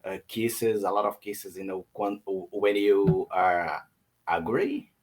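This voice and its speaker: A man from Brazil, a native Portuguese speaker, practicing English with a tutor over a video call, pausing often to find his words. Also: low voice. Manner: hesitant, searching for words, many "um"s. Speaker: English student. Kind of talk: casual conversation lesson.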